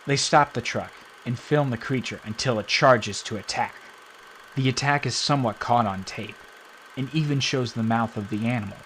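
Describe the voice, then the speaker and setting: A male narrator reading a scripted account in an even, documentary manner. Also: medium pitch, moderate pace, a little hiss or hum.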